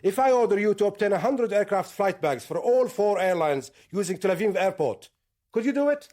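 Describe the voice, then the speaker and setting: Radio drama villain: higher-voiced militant boss, smug, menacing, condescending, used to being obeyed. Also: male voice, lively intonation, a little fast, darkish tone. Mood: smug, boastful. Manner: authoritative, probing, condescending. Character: commanding, smugly menacing militant leader.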